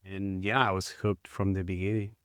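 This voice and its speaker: Chilean man with a smooth low voice. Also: flat delivery, fairly bright tone, dry recording, clean studio sound. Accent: Chilean Spanish. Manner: halting, many fillers and restarts. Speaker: guest, mountain bike guide. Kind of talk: casual interview conversation.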